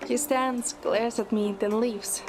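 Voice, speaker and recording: A woman's voice: a little high, fairly even intonation, slow, rough recording. A woman reading a scripted first-person dramatic narration. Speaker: narrator and lead in a fan audio drama. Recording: loud music under the voice. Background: music.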